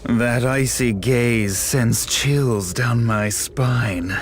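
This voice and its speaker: A man's voice: medium pitch, drawn-out words, a little hiss or hum. A man playing a megalomaniac self-proclaimed god in a fantasy game, with pompous, florid, theatrical delivery and lovesick obsession. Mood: mocking, lovesick. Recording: voice over music.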